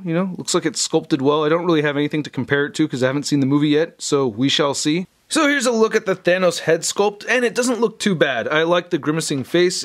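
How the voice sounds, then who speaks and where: A man hosting a casual, unscripted review video, talking in a chatty, informal, slangy way. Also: fairly high pitch. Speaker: toy review video host.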